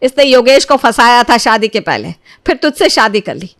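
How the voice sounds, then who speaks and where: A high, dry-recorded voice of a bitter older mother-in-law, acted out by a woman. She scolds and orders people about in cartoon moral-story style, accusing and bossy. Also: big pitch swings, moderate pace, no room sound. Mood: harsh scolding.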